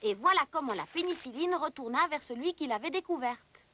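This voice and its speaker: A woman's voice: high voice, animated, brisk, pushed and bright. A woman narrator delivering scripted educational cartoon narration in a storytelling manner.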